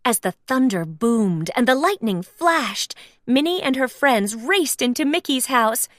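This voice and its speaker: A woman narrating a children's storybook aloud in an expressive read-along style. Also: high voice, measured pace, no room sound, studio quality.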